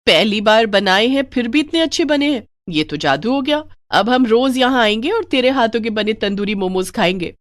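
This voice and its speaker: A woman's voice: on the high side, animated, moderate pace, hardly any pauses, no echo, natural voice. A woman playing a cheerful, impressed young college student customer in a moral story, enthusiastic in manner. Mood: eager, delighted. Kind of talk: Hindi moral story character voice.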